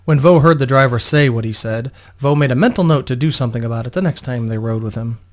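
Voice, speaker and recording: A man's voice: mid-range voice, quick pace. A man narrating a scripted fantasy story in a dramatic reading, as an audiobook narrator.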